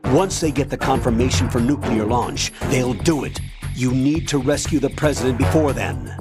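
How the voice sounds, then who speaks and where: An older commanding officer in a game cutscene played by a man. His mid-pitched voice is firm, guarded and measured, with music clearly playing behind it. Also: natural voice. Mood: urgent, commanding. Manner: authoritative, measured. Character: authoritative older male military colonel, firm and guarded. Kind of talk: video game cutscene acting.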